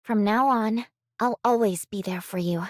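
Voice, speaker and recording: A woman's voice: medium pitch, a little slow, dry recording, clean studio sound, lightly acted. A woman voicing an easygoing, supportive young companion in an anime game. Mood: easygoing, warm.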